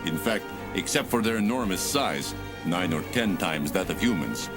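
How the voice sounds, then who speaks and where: Solemn old-school space captain, low voice that rises and falls, formal report, loud music under him. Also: male voice, lively intonation, normal speed. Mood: grave, formal. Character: grave veteran male starship captain giving a formal report. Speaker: narrator. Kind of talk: scripted dramatic narration.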